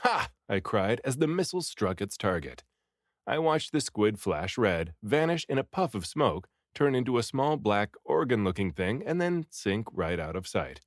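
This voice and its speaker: A man reading a story aloud in an expressive, theatrical way, acting out the dialogue. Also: fairly low pitch, no echo, studio quality. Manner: animated, theatrical delivery with acted dialogue. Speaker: audiobook reader.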